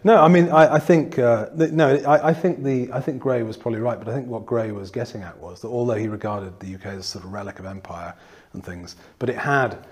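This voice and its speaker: A man's voice: medium pitch, fairly quick. A man from northeast England who interviews a guest on politics, rambling through restarts and fillers into long questions. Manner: rambling, many restarts and fillers, lively asides. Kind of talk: political discussion.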